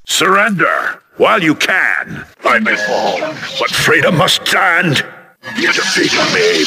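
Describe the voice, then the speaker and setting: A man voicing a noble, grave robot commander in a video game, solemn, commanding and measured. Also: mid-range voice, background noise. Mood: battle fury.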